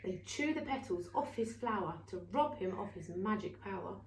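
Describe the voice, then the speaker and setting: A woman reading a children's bedtime story aloud in an expressive, theatrical way with emphatic exclamations. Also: medium pitch, moderate pace. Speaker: story reader for a family centre. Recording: roomy, distant mic, faint noise.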